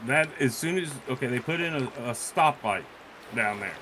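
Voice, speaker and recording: A man's voice: medium pitch, lively intonation, moderate pace, bright, forceful tone. A man chatting casually on a live stream, blunt and prone to swearing. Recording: clearly noisy background.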